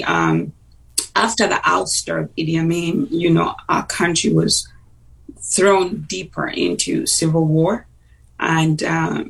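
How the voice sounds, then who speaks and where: Woman in her forties, husky voice, reflective guest speaking slowly, with fillers. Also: on the low side, far from the mic, rough recording. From Uganda. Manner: thoughtful, unhurried, with frequent pauses and fillers. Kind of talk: personal testimony in a talk-show interview.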